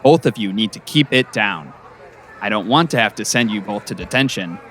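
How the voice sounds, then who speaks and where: An actor playing a formal, paternal middle-aged school principal who cautions and admonishes in an audio drama. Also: male voice, lowish voice, animated, normal speed, fairly bright tone, a little hiss or hum. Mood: stern, cautioning. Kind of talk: scripted audio drama acting.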